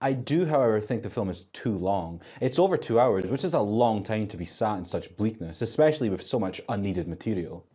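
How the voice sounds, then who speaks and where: A man's voice: lowish voice, expressive, brisk, few pauses, warm tone, breathy edge. A man reading a scripted film review as a video reviewer, with opinionated, colloquial phrasing.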